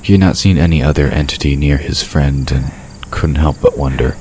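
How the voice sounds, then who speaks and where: A man's voice: rumbling low, brisk, a little mellow, a little hiss or hum. A man narrating a fantasy audio drama in a scripted storytelling style.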